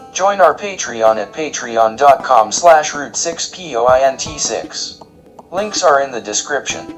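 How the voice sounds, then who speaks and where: A man's voice: on the high side, low-quality recording. A man reading scripted promotional credits as a podcast announcer.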